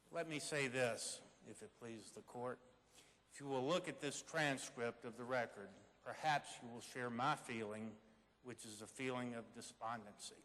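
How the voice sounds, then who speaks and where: A man playing a dignified, earnest appellate attorney, delivering formal, measured oral argument in a readers theater. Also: mid-range voice, a bit bright, lightly acted. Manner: measured, formal, rhetorical repetition. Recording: hall with long reverberation.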